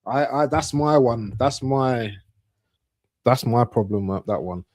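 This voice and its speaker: A man's voice: medium pitch, slow, darkish tone, no room sound. A man hosting a live football watchalong with casual, informal commentary and chat, often repeating his phrases.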